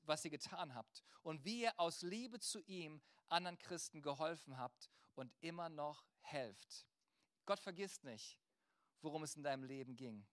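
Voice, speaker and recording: A man's voice: on the high side, fairly bright tone, dry recording, studio quality. A male pastor preaching a sermon in a conversational, rhetorical way, speaking directly to his listeners.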